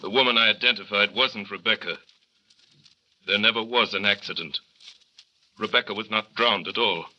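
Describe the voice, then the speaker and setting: An actor playing a tormented husband in an old radio drama, speaking gravely and low. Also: male voice, normal speed, long pauses, sharp tone, dull audio. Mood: despairing. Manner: grave, low-spoken confession. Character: tormented guilty husband, grown man, brooding. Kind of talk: 1940s radio acting.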